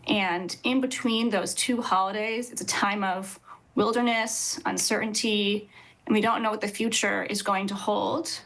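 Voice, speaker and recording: A woman in her thirties speaks as a guest rabbi on a video call. She explains things in an earnest, measured way, at a middling pitch for a woman. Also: fairly even intonation, a bit bright, rough recording. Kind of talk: explanatory interview answers. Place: indoor office with bookshelves.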